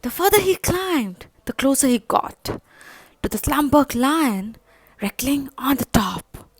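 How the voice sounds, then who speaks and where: A woman storyteller reading a children's story aloud in a dramatic, expressive manner. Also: sits high, slow pace, no echo.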